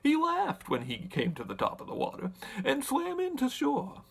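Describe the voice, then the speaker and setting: A man voicing a talkative winged monkey king who recounts his tribe's history in an animated, deliberate storybook manner. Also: very high-pitched, vocal fry, breathy edge, voice pushed up, exaggerated voice. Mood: storytelling. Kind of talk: storybook character narration.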